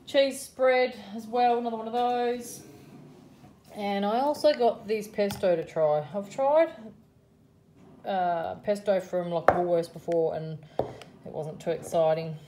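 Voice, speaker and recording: A woman's voice: medium pitch, measured pace, warm tone, slight background noise. A middle-aged Australian woman chatting casually through her grocery haul, with frequent ums and price comments. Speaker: vlogger showing a grocery haul. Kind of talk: casual chat. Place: home kitchen or dining room. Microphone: phone camera held over a table.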